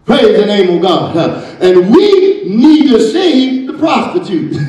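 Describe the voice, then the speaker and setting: A middle-aged man preaching a sermon from a church pulpit into a handheld microphone, punctuating every phrase with "uh" and "praise the name of God," often shouting. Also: high voice, sing-song, slow, muffled recording, soft background music. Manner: shouting, gesturing with raised hand. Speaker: pastor. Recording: very echoey church hall, from a distance.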